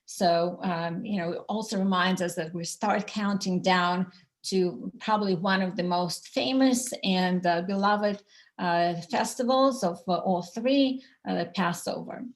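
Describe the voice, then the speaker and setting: A woman from Russia with a Russian accent, leading an online prayer service over a video call and speaking haltingly with many fillers. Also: lowish voice.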